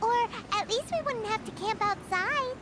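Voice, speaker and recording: A woman voicing a tiny, naive, chatty childlike companion in a video game, with a squeaky voice. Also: moderate pace, heavy background noise. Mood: curious, wishful. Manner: squeaky, childlike, refers to self by name. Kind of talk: voiced game character dialogue.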